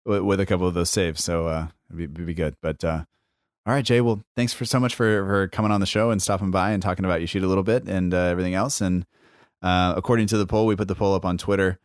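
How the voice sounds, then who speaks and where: A man with a low voice hosts a podcast casually. He is laid-back and easygoing, phrasing things loosely as he goes. The sound is dry and close. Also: fairly even intonation, quick pace, no room sound. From United States. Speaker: podcast host.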